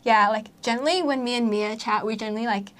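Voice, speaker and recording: A young woman hosts a casual podcast chat with a bright, clear voice that sits a bit higher than most. She talks freely and giggles often, filling her sentences with "like" and "um". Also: fast. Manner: chatty, giggly, lots of "like" fillers. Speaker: podcast host.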